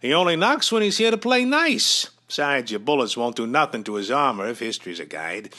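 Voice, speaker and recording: A man's voice: medium pitch, big pitch swings, a little fast, pushed and bright. A man playing a slippery, falsely courteous crime boss with slangy, wheedling tough talk in an old-time radio drama style. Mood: cocky.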